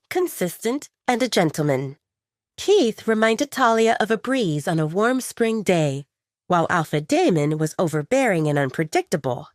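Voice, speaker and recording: A woman's voice: medium pitch, no room sound, studio quality. A woman reading scripted romance fiction as a dramatic audiobook narrator.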